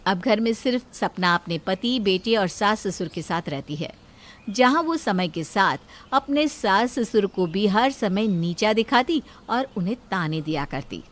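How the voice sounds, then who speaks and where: A woman's voice: mid-range voice, expressive, measured pace. A woman reading a scripted family story as a storyteller. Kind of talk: scripted Hindi story narration.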